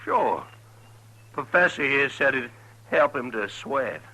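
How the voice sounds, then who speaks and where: An actor playing a grieving, stubborn frontier son with folksy rural speech in a 1950s radio western. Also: male voice, mid-range voice, expressive, takes it slowly, sharp tone, exaggerated voice. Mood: stunned, insistent.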